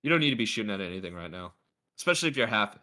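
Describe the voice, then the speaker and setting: Bright-voiced man coaching, stuffy nose. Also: fairly low pitch, very animated, racing. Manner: chatty, lots of filler words. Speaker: game coach. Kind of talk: casual gameplay review.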